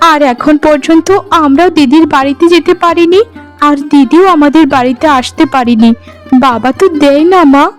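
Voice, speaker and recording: A woman's voice: sits high, normal speed, faint music behind, close to an everyday voice. A woman voicing a wistful young daughter in cartoon story dialogue, plaintive and tender. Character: wistful young daughter missing her elder sister.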